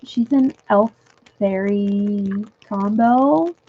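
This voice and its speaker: A young woman chatting casually with viewers on a livestream from home, giggly and full of fillers, her voice going a little hoarse. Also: mid-range voice, drawn-out words, lo-fi sound. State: losing her voice. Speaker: livestream host. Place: home room.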